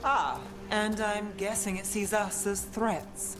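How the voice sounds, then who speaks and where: An actress playing a confident, wary investigator in a video game, dry and understated. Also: female voice, medium pitch, slow pace, over music, natural voice, poor audio. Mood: dry, cool. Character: cool, wary, confident female investigator companion. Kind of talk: video game character acting.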